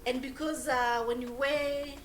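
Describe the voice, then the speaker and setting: Woman in her forties, from Kenya, interview guest, higher voice, halting restarts, echoey room, music behind. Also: steady tone, crowd noise. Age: 40s. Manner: halting, restarts phrases often. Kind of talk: conversational interview.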